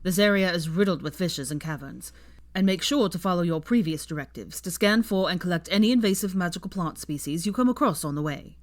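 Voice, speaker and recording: A stern man in command, played by a woman narrator in an audio story. He hands out orders in a brisk, serious, authoritative way. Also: on the low side, expressive, lightly acted. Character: stern male team leader giving orders.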